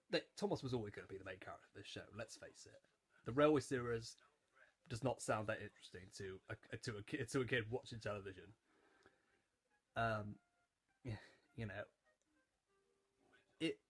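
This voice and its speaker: A man's voice: medium pitch, breathy edge. A twenty-year-old man giving casual rewatch commentary, rambling in stop-start phrases with repeated words and frequent chuckling. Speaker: YouTube reviewer.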